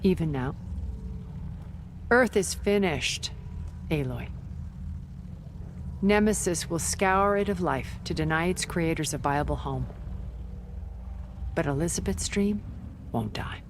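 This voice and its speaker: An actress playing a centuries-old immortal woman in a video game, weary, possessive and coldly persuasive, speaking in a grave, measured way. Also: sits low, lively intonation, slight background noise. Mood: solemn resolve. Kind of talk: dramatic video game acting.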